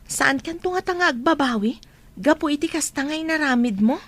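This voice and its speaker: A woman's voice: high-pitched, expressive, normal speed, fairly bright tone. An actress playing a nosy, concerned young college woman in a radio drama, with a Filipino accent. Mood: reproachful. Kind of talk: Ilocano radio drama acting.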